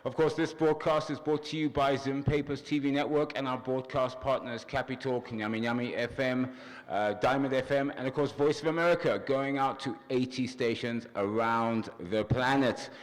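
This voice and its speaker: A man's voice: mid-range voice, fairly even intonation, normal speed. Upbeat male TV host with studio reverb. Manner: upbeat, announcer-like reading of credits and shout-outs. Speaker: TV talk show host. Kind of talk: hosting a panel broadcast.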